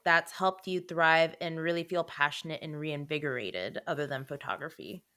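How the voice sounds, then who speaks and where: A woman's voice: deep voice, moderate pace, smooth. A woman hosting a podcast, asking questions in a casual, affirming interview style. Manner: warmly encouraging, affirming with frequent mm-hmms. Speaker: podcast host.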